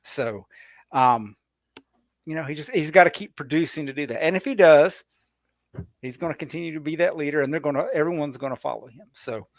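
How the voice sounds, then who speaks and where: A man's voice: on the high side, fairly quick, dry recording. A man giving his take on a casual sports podcast, rambling and restarting his words as he thinks. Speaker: podcast panelist.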